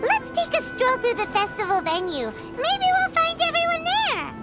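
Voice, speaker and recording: Game sidekick: tiny nosy fairy girl, extremely high, lively voice, music behind. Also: normal speed. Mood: cheerful. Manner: excitable, speaks of herself in third person. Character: small floating fairy girl companion, childlike, chirpy and nosy. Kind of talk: video game voice acting.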